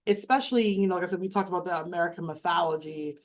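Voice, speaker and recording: A woman's voice: on the low side, racing. A woman moderating a panel discussion in a loose, conversational way with plenty of fillers.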